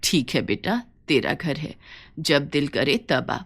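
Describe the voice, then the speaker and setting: A woman's voice: low voice, animated, slow, pitched below the performer's own voice, exaggerated voice. A woman voicing an elderly village mother in a cartoon story, caring and weary, plaintive and tender in manner. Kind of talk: Hindi cartoon story dialogue.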